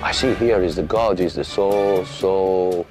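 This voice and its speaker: A man from Iran whose first language is Farsi, giving a halting testimony in broken English. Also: sits low, low-quality recording. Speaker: former prisoner giving a testimony. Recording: film clip with music behind. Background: music.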